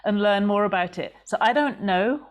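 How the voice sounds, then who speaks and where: A woman hosting a podcast, asking a guest curious, reflective questions in a relaxed conversation. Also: mid-range voice, moderate pace. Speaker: podcast host.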